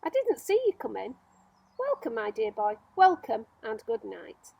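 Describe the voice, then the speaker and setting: A woman's voice: on the high side, wildly swooping pitch, a little slow, creaky, a little mellow, breathy edge, exaggerated voice. A woman voicing a prim, gracious female glow-worm in a children's storybook. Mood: gracious welcome. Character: lady glow-worm, sleepy, haughty yet welcoming.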